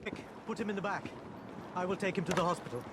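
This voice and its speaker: An actor playing a courteous, earnest Indian gentleman conspirator in a video game, speaking formally and articulately. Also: high-pitched, fast, heavy background noise. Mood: intent, puzzled. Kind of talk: video game cutscene acting. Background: background music.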